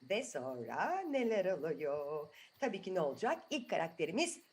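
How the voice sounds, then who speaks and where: A woman's voice: fairly low pitch, very animated, normal speed, a little mellow, slight background noise. A woman telling a story to children in an improvised, chatty way, asking the listeners questions as she goes.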